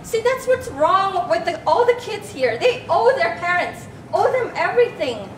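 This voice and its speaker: A woman's voice: very animated, moderate pace. A woman on stage playing a reproachful immigrant mother in a staged reading, with a scolding delivery. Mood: angry, scolding. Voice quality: high-pitched, scolding. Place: large echoey public hall with glass balcony. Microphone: handheld microphone, heard through room speakers. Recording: echoey hall, noisy room ambience.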